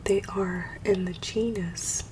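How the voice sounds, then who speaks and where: A woman whispers close and low, her voice carrying a grainy throat scratch and little rise or fall in pitch. She talks fairly quickly and sometimes stumbles on tricky words. The mic sits back from her, with a steady hiss in the background. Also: distant mic. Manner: quick talker who stumbles on hard words. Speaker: ASMR creator. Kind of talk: soft-spoken ASMR reading and rambling. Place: at home.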